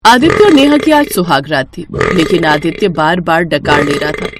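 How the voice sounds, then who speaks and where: A woman's voice: sits low, lively intonation, moderate pace, background noise. A woman reading scripted narration for a cartoon moral story.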